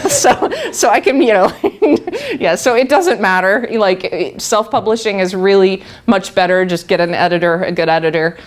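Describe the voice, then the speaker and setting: A woman's voice: medium pitch, normal speed. Middle-aged woman, plain medium voice, earnest storyteller who laughs a lot and trips over her own openings. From Texas. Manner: warm, laughs often, many restarts. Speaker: guest speaker. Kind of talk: personal talk to a live group.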